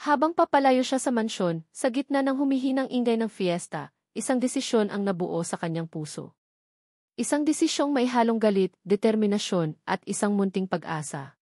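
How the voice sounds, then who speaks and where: A woman with a Filipino accent narrates a scripted drama in audiobook style. Her voice sits at a medium pitch for a woman. The sound is dry, with hardly any room in it. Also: a little mellow, no echo.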